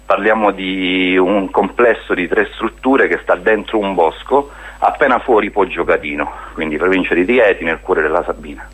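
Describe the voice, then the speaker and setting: A man's voice: sits low, moderate pace, a bit bright, slightly rough, low-quality recording. A man speaking as a guest in a radio interview, explaining a project in an earnest way. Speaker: guest, spokesman of a hiking association.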